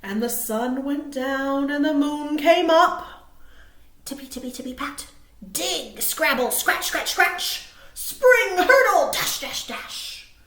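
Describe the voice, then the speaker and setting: A woman's voice: sits high, slow pace, reverberant, a little hiss or hum. A woman reading a picture book aloud to young children, expressively and with dramatic emphasis, as a librarian at storytime. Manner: expressive, asks listeners questions, dramatic emphasis.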